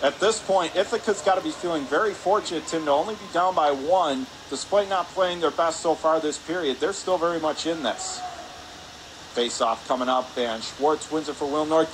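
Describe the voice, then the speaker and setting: A man with a fairly high voice calls hockey play-by-play in a radio style. His phrases are clipped and urgent as he follows the puck. Clear ice rink noise runs behind him. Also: normal speed. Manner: clipped, urgent phrases while calling action. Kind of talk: live radio sports play-by-play commentary. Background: ice rink ambience.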